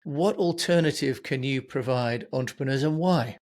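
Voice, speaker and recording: A man's voice: mid-range voice, moderate pace, a little mellow. A man hosting a podcast interview, putting questions and comments to his guest in a conversational way.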